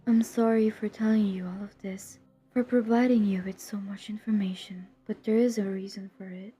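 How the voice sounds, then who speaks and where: A woman playing a centuries-old, guilt-ridden but determined vampire hunter in an intimate audio roleplay, speaking in a hushed, confessional, breathy voice that often drops to a whisper. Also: medium pitch, steady tone. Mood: apologetic, persuading. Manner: hushed, confessional, halting, earnest. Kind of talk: intimate dramatic audio roleplay addressed to the listener.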